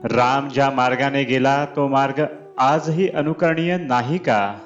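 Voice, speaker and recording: A man reads a scripted spiritual discourse aloud in measured, deliberate phrases. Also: mid-range voice, dull audio. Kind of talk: scripted devotional discourse reading. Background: clear background music.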